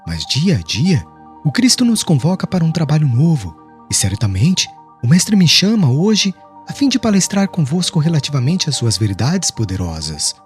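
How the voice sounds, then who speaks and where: A man's voice: on the high side, wildly swooping pitch, moderate pace, soft background music. A man voicing a serene, devout young preacher in an audiobook, eloquent and formal, declaiming with solemn firmness. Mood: firm, serene.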